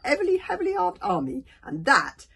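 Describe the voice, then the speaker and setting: A woman's voice: a bass voice, very animated, fairly quick, vocal fry, slightly rough, heavily acted. A woman voicing an anxious, sarcastic young boy in a storybook, with a bleating, exasperated manner.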